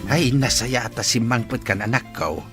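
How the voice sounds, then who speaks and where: A man's voice: medium pitch, normal speed, gravelly, loud music behind the voice, lightly acted. A man with a Filipino accent playing a kindly, homely older father in a radio drama. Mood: homely, welcoming.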